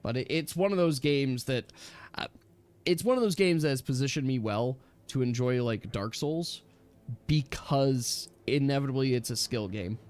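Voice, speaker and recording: A man chatting casually and at length as a gaming stream host, emphatic and animated, often correcting himself. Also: mid-range voice, normal speed, smooth. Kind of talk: casual rambling gamer chat. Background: background music.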